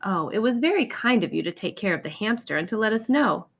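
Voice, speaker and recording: A woman's voice: mid-range voice, expressive, fast talker, a bit bright, highs cut off. A woman voicing a considerate, inquisitive mother in a children's storybook readaloud. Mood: kind. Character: kind, curious mother of a young boy.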